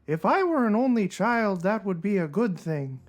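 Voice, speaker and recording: A man's voice: high-pitched, sing-song, moderate pace. A man playing a solitary, melancholy cartoon blogger in a dramatic reading, pedantic and plaintive. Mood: fussy, melancholy. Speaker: performer in a podcast dramatic reading. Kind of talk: dramatic reading of a comic blog.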